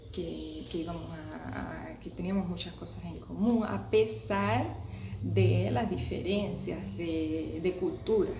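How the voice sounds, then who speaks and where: A woman's voice: lowish voice, creaky. A Cuban woman in her thirties with a Cuban Spanish accent, talking casually to her viewers with many restarts and hesitations. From Cuba. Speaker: vlogger. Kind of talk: casual talk to camera. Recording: echoey room with background noise.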